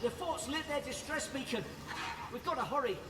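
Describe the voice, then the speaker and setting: A man's voice: very high voice, animated, normal speed, fairly bright tone, a little hiss or hum. A man voicing an anxious, earnest soldier companion in a video game, urging haste. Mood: urgent.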